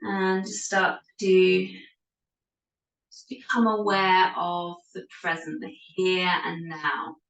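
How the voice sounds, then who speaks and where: A woman teaching yoga and guided meditation in a gentle, soothing, unhurried manner with pauses. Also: on the low side, flat delivery, lo-fi sound. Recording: echoey room, distant microphone.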